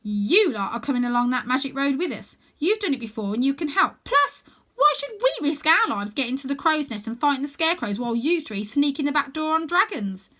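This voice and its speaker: Storybook girl chief, acted by a woman: fierce, scornful, curt, raised bright voice giving orders. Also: high voice, very animated, brisk, pitched above the performer's own voice. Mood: firm, blunt. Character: bossy, fierce young girl chief of a wild gang. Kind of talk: children's storybook character voice.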